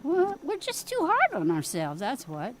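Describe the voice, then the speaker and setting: A woman's voice: high-pitched, huge pitch swings, unhurried, slight background noise, exaggerated voice. An actress with a Midwestern accent playing a pushy, folksy small-town mother in an audio drama. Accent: Midwestern American. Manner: folksy, scolding, plain-spoken. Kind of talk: period radio drama acting.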